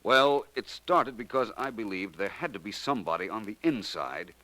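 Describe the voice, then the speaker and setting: An actor playing a suave, calmly superior gentleman detective in a 1940s radio drama, smooth and unhurried. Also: fairly low pitch, low-quality recording. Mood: explaining. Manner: smooth, unhurried, lightly condescending.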